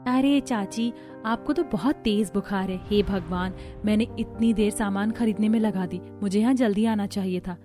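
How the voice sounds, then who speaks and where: A woman's voice: medium pitch, normal speed, music in the background, natural voice. A woman voicing a caring, respectful young female cousin in a cartoon story, with a soothing, eager manner. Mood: worried, soothing. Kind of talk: Hindi cartoon story acting.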